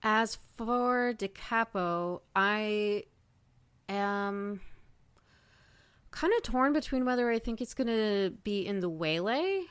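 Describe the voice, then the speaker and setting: A woman with a smooth, slightly low voice talks through her ideas at a slow pace. She is thoughtful and speculative, like a panelist on a casual fan podcast. The recording is close and dry. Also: lively intonation, no room sound.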